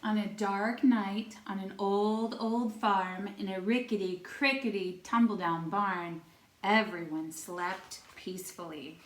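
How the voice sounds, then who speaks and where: A woman, a first-grade teacher, reads a rhyming storybook to young children in expressive, carefully spaced phrases. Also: medium pitch, slow. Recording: echoey room.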